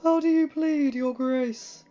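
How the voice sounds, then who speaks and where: A woman's voice: high voice, takes it slowly, mellow, voice pushed up. A woman putting on a wheezy old maester. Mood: formal. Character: wheezy old male grand maester, formal. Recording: dull lo-fi with music behind.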